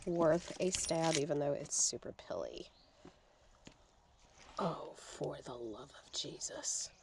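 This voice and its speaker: A woman's voice: low voice, lively intonation, slow pace, vocal fry, darkish tone. A woman chatting casually to viewers in a folksy, wry way while vlogging. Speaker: vlog host, reseller at yard sales. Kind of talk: casual first-person vlog chat to viewers. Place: yard sales outdoors, driveways and car trunk. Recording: noisy outdoor handheld action-camera audio.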